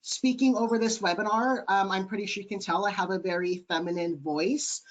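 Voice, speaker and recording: A man with a light, high-sounding voice, answering webinar questions earnestly with many ums over a video call. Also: fairly even intonation, brisk, poor audio. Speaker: webinar panelist.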